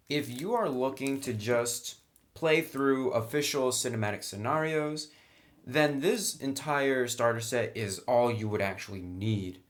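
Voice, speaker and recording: A man talks through the contents of a tabletop game box in a relaxed, plainspoken review, speaking at an ordinary middle pitch. He is recorded indoors by a camera on a tripod. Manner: relaxed, plainspoken, says "actually" often. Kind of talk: casual product review, unboxing. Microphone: camera mic on a tripod.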